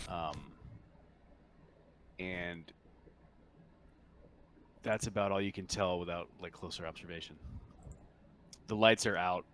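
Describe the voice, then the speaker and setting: A man with a fairly low voice runs a tabletop game as its game master. He describes things in a casual, conversational way. The recording is uneven, with some background noise. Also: fairly bright tone.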